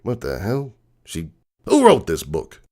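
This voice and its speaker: A man playing a gruff, streetwise crook horse uncle who tells bedtime stories in a slangy, cynical, digressing way. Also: low voice, wildly swooping pitch, measured pace, warm tone, heavily acted. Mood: wry, matter-of-fact. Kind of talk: dramatic reading of a comic bedtime story. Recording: music bed behind the voice.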